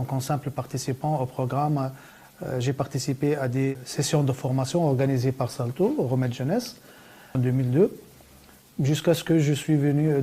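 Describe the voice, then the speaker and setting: Male youth trainer, very dark and mellow tone, hesitant personal testimony. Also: mid-range voice. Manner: hesitant, with many fillers.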